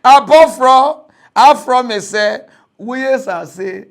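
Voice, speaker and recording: A young Nigerian man hosting a mock news comedy show, ranting in a mocking, animated way and laughing often. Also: very high voice, takes it slowly. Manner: animated, mocking, laughs often, switches to loud excited outbursts. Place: studio with green screen.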